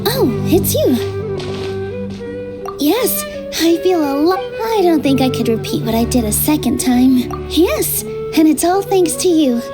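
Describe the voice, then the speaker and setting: A woman playing a gentle, earnest young theater dancer in a video game, speaking softly and sincerely. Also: high-pitched, sing-song, measured pace, loud music behind the voice. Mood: grateful.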